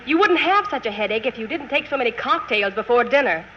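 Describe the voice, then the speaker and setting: An actress playing a nagging, exasperated wife in a 1940s radio comedy, scolding and fretfully questioning. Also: female voice, fairly high pitch, lively intonation, fast, close to an everyday voice. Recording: muffled vintage radio, noise, audience.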